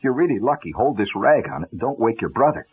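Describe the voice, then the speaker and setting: Man putting on a fussing, husky American mom. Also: medium pitch, very animated, fast talker. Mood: soothing. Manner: fretful, repeating her warnings. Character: fussing, soothing Midwestern mother of a small boy. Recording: muffled old radio broadcast.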